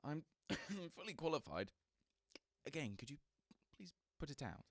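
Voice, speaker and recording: A man's voice: on the high side, very animated, a little slow, slightly rough, no echo, studio quality, full character voice. A man playing a polite, unsure, newly qualified psychiatric doctor in a radio drama, formal and hesitant in manner. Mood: flustered, defensive.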